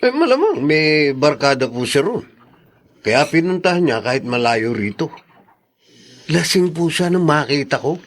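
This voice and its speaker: Earnest high-voiced young man, animated. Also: normal speed, pauses often. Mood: conversational. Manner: expressive, respectful to his father. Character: earnest, protective young man, a grown son. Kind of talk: Tagalog radio drama acting.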